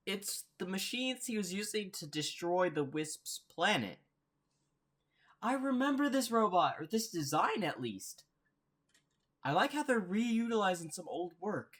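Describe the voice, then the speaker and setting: Casual mid-pitched reaction host. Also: sing-song, slow, fairly bright tone.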